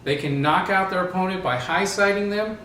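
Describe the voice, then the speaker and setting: High-ish man, distant in echoey garage. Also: a little fast, pushed and bright. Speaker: robot combat team member. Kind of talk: reading a children's book aloud. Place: garage workshop with metal shutter. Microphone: distant camera. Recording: echoey, faintly noisy, distant mic.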